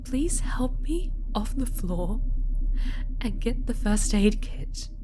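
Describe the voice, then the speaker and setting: A woman playing a possessive, obsessive winged superheroine in a dramatic ASMR roleplay, slow and breathy with theatrical pauses. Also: fairly high pitch, expressive, voice pushed up. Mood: soothing, coaxing. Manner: slow, breathy, theatrical delivery with drawn-out pauses and whispered words. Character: possessive, obsessive dark winged female superhero, sinister and adoring.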